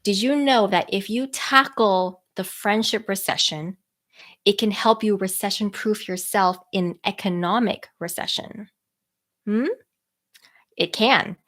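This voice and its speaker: A woman's voice: medium pitch, fairly bright tone. A woman in her thirties with a cold, talking nasally as a people skills coach on a podcast live stream, earnest and encouraging. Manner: earnest, encouraging, slowed by a stuffy nose.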